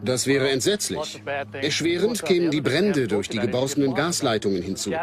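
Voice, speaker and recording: A man's voice: medium pitch, expressive, normal speed, loud music behind the voice, lightly acted. A man explaining as an expert in a dubbed documentary. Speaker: earthquake expert.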